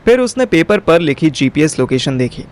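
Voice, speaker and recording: Higher-pitched Indian man narrating a story. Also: big pitch swings, moderate pace. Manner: wry, colloquial storytelling. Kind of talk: scripted audio story narration. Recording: music behind the voice.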